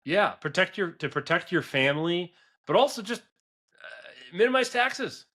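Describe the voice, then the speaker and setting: A man's voice: high-pitched, sing-song, a little slow, pushed and bright. A man of forty hosting a business advice podcast in a conversational, earnest and emphatic way, often checking in with "right?". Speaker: podcast host, business advisor.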